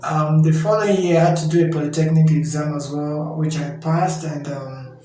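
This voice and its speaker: A Nigerian man in his thirties, a guest in a casual interview, talking haltingly with many fillers and frequent checks of "you understand?". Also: a little high, steady tone, warm tone, a little hiss or hum. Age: 30s. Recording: heavy echo with faint music.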